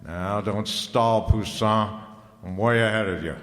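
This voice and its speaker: A man's voice: on the low side, a little slow, fairly bright tone, big-room sound. A man acting a hard-boiled private detective in an old-time radio drama, wry, slangy and unflappable. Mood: sardonic, pressing.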